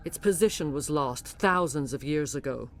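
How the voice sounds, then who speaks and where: A woman playing a grave alien matriarch, a mind-controlled servant, speaking formally and with measured drama in a video game. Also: low-pitched, animated. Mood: solemn, haunted.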